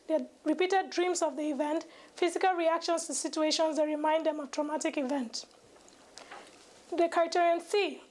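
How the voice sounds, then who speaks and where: A young woman, a medical student, giving a scripted educational presentation, reading formally and carefully with occasional stumbles. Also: very high-pitched. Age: young adult.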